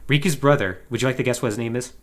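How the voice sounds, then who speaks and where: A man's voice: medium pitch, very fast. A man co-hosting a podcast, giving a casual, comedic plot recap in an emphatic, conversational way with wry asides. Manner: animated, emphatic storytelling. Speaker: podcast co-host.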